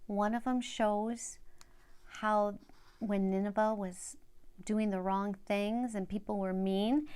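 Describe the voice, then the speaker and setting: A woman's voice: medium pitch, darkish tone, clean tone. An older woman reads a children's Bible story aloud, speaking directly and simply to young listeners.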